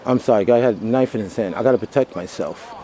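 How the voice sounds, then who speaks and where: A man's voice: fairly low pitch, fast, dark tone. A man giving plain-spoken, pleading testimony in an unscripted interview outdoors. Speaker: homeless interviewee. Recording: outdoor street noise.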